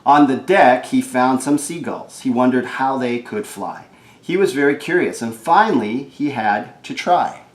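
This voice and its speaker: A man reads a children's storybook aloud at an unhurried pace. He slips between the written story and chatty comments on the pictures. His middle-pitched voice sounds in a roomy, echoing space. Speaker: storybook reader. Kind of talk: animated read-aloud of a children's picture book with casual asides.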